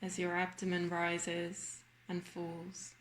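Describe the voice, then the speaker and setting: A woman guiding a body scan meditation in a slow, measured manner with long pauses. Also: on the low side, monotone, slight background noise. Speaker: meditation guide.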